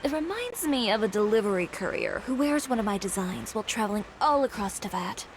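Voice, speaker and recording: A woman voicing a cool-headed, businesslike designer who speaks in a calm, matter-of-fact way, in a video game. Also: fairly high pitch, fairly quick, slight background noise. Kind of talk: game voice acting.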